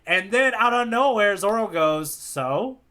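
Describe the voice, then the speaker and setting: A man's voice: high voice, animated, measured pace, pushed and bright. A man co-hosting a podcast in informal, casual chat, circling around his point with repeated words. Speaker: podcast co-host.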